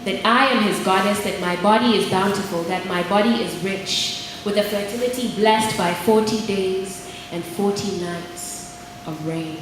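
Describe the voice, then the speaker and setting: A young adult woman from Kenya performing spoken word poetry in a measured, rhythmic way, repeating her phrases. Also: medium pitch. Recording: echoey hall with long reverb, room noise.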